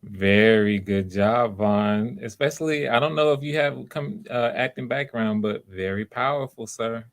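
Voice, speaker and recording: A man hosting auditions, giving halting, complimentary feedback. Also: mid-range voice. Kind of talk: spoken feedback.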